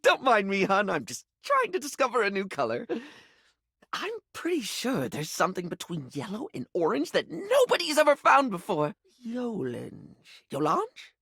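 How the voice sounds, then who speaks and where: A fabulous, theatrical male video game object brought to life. His light, high voice swings dramatically up and down as he gushes and rambles, affectionate yet insecure. The sound is close and dry. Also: wildly swooping pitch, normal speed, creaky, pushed and bright, slightly rough, no echo, heavily acted. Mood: flamboyant, bubbly. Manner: theatrical, gushing, rambling, camp endearments. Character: flamboyant, chatty, insecure male living toiletry object, scatterbrained and affectionate. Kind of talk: video game character acting.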